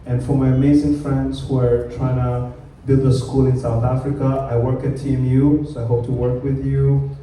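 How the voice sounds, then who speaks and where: Man from Kenya, panel speaker: earnest, emphatic, dark and mellow voice, heard from afar in a reverberant hall. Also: mid-range voice, little pitch movement, moderate pace, a little hiss or hum. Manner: earnest, emphatic, with frequent fillers and restarts. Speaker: community panelist. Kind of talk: public speech at a summit panel. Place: large conference hall. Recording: echoey hall, voice from far off.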